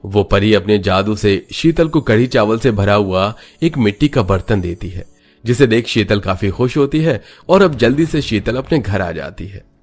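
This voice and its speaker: A man's voice: lowish voice, animated, normal speed, a little mellow. A man giving scripted narration for a cartoon moral story. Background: background music.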